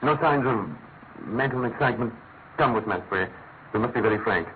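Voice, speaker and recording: An actor playing a brisk, authoritative British private detective with a clipped, questioning manner in a classic radio drama. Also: male voice, medium pitch, natural voice. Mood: probing, grave. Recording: noisy, narrow-band old radio recording.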